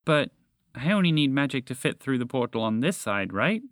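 An earnest storybook moose, played by a man, with a very lively, slightly raised voice. He questions and argues, doubtful and easily irritated. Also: medium pitch, moderate pace, no echo, clean studio sound. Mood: reasoning.

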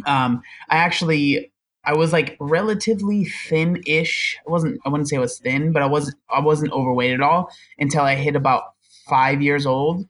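A man's voice: on the high side, normal speed, a bit bright. A young man telling his story as a podcast guest, chatty and self-deprecating, often laughing. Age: young adult. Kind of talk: casual storytelling interview.

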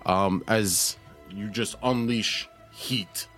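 Slow, low-voiced male game master. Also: fairly bright tone, soft background music. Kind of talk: narrating a tabletop roleplaying game.

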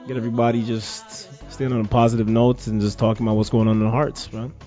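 A man's voice: mid-range voice, level tone, fast talker, warm tone, muffled recording, faint music behind. A Jamaican man guesting on a casual podcast, talking earnestly and from the heart.

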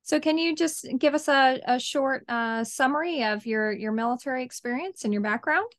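A woman hosting a podcast interview, chatty and friendly and quick to laugh. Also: high-pitched, a bit bright. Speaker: podcast host. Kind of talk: casual interview.

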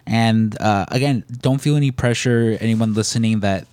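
A man's voice: fairly low pitch, normal speed, darkish tone, no room sound. A man from the Chicago area with a Chicago accent, co-hosting a podcast in casual, rambling, joking chat. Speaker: podcast co-host.

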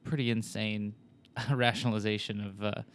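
Man co-host with a smooth voice. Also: lowish voice, little pitch movement, normal speed. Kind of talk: casual podcast chat.

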